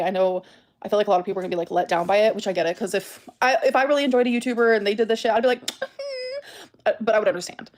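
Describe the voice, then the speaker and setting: A woman's voice: medium pitch, darkish tone. Woman giving an emphatic personal update. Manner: emphatic, repetitive. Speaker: YouTuber. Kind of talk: casual personal update to followers.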